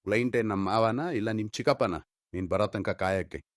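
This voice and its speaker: A man's voice: on the low side, brisk, no echo, studio quality, natural voice. A man with an Indian accent playing a cold, exasperated office manager, berating and commanding in short-film drama dialogue. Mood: furious, cold.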